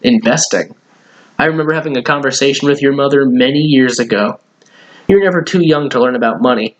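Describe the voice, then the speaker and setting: A kindly old grandfather from a children's storybook, played by a man reading aloud. He is gentle and a little puzzled, speaking in an everyday middle register. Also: mid-range voice, a little fast, lo-fi sound, natural voice. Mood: reflective.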